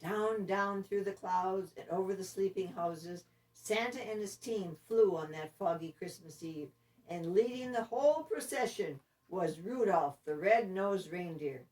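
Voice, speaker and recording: Female storybook reader: lively melodic range, deliberate dramatic phrasing, mid pitch, placed back from the microphone. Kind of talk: expressive children's story narration. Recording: distant microphone.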